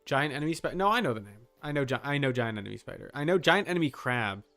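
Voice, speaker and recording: A man's voice: medium pitch, animated, fast talker, creaky, sharp tone, soft background music. A man giving casual live commentary as a game streamer, muttering short, casually profane reactions.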